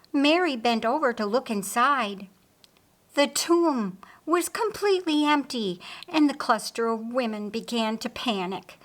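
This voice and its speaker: A woman narrates an audiobook story with expressive drama. Her voice sits fairly high and moves through lively swings of pitch. She takes her time, reading at a very measured pace.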